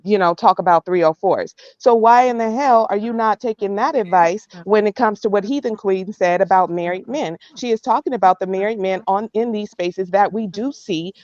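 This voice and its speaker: A woman's voice: mid-range voice, normal speed, hardly any pauses, a little mellow, no echo, lo-fi sound. A woman panelist debating live in a blunt, challenging way.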